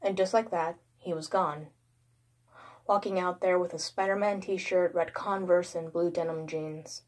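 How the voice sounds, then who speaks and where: A woman with a somewhat low voice reads a horror story. She narrates in the first person as a creepypasta storyteller. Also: fairly even intonation, unhurried.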